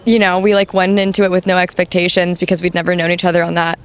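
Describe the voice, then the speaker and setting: Young woman singer, high voice, rambly casual chat full of likes and ums. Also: monotone, fast talker, clean tone. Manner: chatty, full of "like" and "um". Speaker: band's new singer. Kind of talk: casual interview answers.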